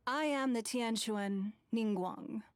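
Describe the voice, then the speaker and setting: A woman's voice: fairly high pitch, slow, no room sound. A woman playing an elegant, composed noblewoman judge who speaks formally in a video game, over music.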